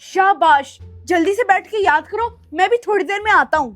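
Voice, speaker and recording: A mischievous schoolboy son, acted by a woman in a family comedy skit. The voice is extremely high, cheeky and bossy, with faint music behind it. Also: moderate pace. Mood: smug. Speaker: actor in a comic skit. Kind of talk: comic family skit acting.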